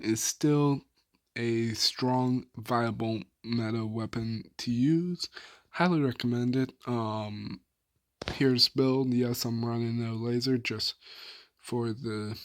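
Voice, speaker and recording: A young gamer talks through his loadouts in a hoarse, worn voice, speaking slowly with a flat, even tone. He trails off often and fills pauses with "um". The sound is dry and close, with no room around it. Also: mid-range voice, dry recording. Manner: laid-back, filler-heavy, trailing off. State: hoarse, soft on mic. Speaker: gaming YouTuber. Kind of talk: casual weapon build rundown.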